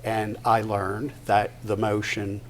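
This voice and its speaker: An older man giving a personal testimony to an audience at a live event, halting and deliberate, pausing mid-sentence. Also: on the low side, completely flat delivery. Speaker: guest speaker at a patient discussion event. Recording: noticeable background noise, live event.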